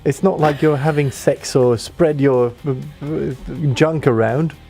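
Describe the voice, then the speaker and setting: Dry, teasing man with a mellow voice. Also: on the high side, unhurried, vocal fry, faint music behind. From Sweden. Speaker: radio co-host. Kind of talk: casual chat.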